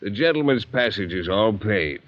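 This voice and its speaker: A muffled golden-age radio recording of a man acting a callous schooner captain. His voice sits fairly low, and he is smug and jovially offhand about cruelty. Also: expressive, moderate pace, close to an everyday voice.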